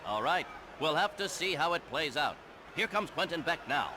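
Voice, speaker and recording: A brisk, upbeat sports anchor, fairly high and lively, doing live game-world commentary. There is clear noise behind the broadcast. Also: male voice. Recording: noisy broadcast from an arena.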